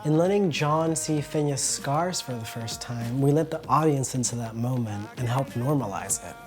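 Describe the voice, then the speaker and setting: A passionate filmmaker talks about their film in a heartfelt documentary voice-over. The voice sits at a medium pitch, with soft music playing underneath. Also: moderate pace.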